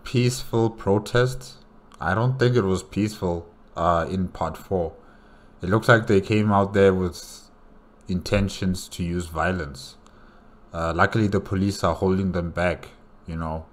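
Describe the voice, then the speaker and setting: A man's voice: deep voice, steady tone, a little slow. A man hosting a reaction video, giving casual, conversational commentary and repeating himself for emphasis.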